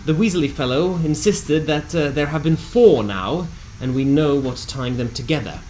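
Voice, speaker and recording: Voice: medium pitch, normal speed, a little mellow, slight background noise, poor audio. A narrator reading a scripted recap of a tabletop fantasy game in a theatrical storytelling manner with wry asides. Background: music.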